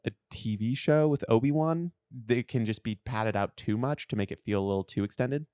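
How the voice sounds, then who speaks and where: A man's voice: mid-range voice, moderate pace, darkish tone, dry recording, studio quality. A man co-hosting a podcast in casual, playful pop culture banter. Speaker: podcast co-host.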